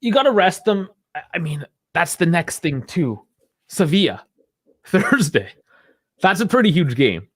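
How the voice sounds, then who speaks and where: A man's voice: a little high, big pitch swings, a little slow, pauses often, no echo. A man co-hosting a casual sports call-in podcast, talking in a chatty, rambling way and laughing often. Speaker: podcast co-host.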